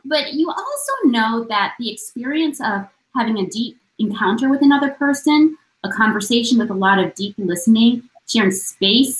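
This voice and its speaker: A woman in her forties on a webcam video call, speaking as a panelist in a book-lined room. Her voice sits a little high and rings with a roomy echo. She is earnest and reflective, setting out her points one at a time. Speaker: panelist, oral historian. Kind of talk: conference panel remarks.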